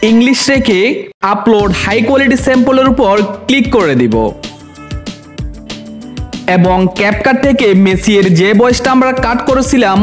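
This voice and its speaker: A man with a noticeably high voice narrates a step-by-step screen tutorial as its presenter. Music plays softly but audibly behind him as he explains. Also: normal speed, pauses often. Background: background music.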